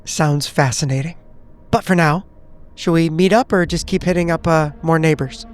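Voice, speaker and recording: A man's voice: fairly high pitch, moderate pace. A man playing a tabletop game, improvising polite, tentative, conversational dialogue in character. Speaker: player.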